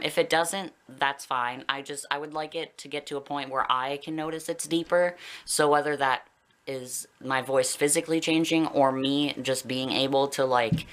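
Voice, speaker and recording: A man's voice: medium pitch, fairly bright tone. A young adult man with a Canadian accent talking casually and candidly to viewers in a personal vlog, rambling a little. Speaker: video blogger.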